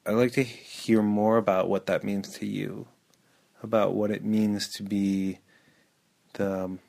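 A man hosting an interview podcast, asking measured, gentle questions. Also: lowish voice, little pitch movement, vocal fry. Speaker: podcast host. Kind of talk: interview conversation.

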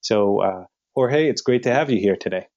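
A man's voice: fairly low pitch, animated, normal speed, darkish tone, dull audio. A man hosting a podcast, introducing his guest and asking questions in a friendly, plain way. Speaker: podcast host. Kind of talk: podcast interviewing.

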